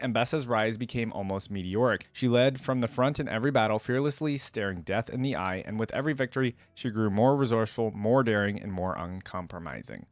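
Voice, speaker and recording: A man's voice: lowish voice, dry recording. A man hosting a reaction video, giving casual commentary and reading text aloud in a conversational way. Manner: conversational asides, thinking out loud.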